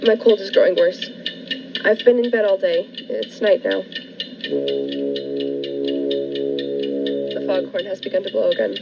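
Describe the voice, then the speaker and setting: A woman's voice: mid-range voice, very animated, brisk, gravelly. A woman playing a frightened, fretful captive in a 1940s-style radio thriller, stammering and pleading in melodramatic fashion. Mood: anguished, dreading. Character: frightened, fretful woman trapped by a menacing man. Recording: dull lo-fi radio play recording with music.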